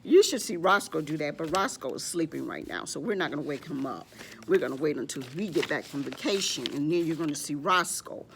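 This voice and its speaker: A woman's voice: sits low, expressive, a little fast, gravelly, slight background noise. A woman hosting a children's storytime, playful and encouraging, speaking simply to little kids and often repeating short phrases.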